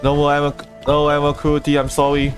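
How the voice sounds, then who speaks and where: A man's voice: on the high side, completely flat delivery, a little fast. A man casually commentating live gameplay as a streamer, thinking aloud as he goes. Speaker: game livestreamer. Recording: game music behind the voice. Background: video game music.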